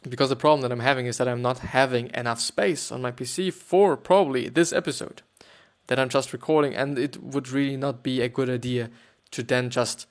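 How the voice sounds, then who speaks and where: A podcast host from Austria speaks English with a German accent. His voice has a gravelly, creaky edge. He rambles and hesitates, repeating words and filling pauses as he chats. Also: mid-range voice. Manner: hesitant, repetitive, many fillers. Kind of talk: rambling casual monologue and reading aloud.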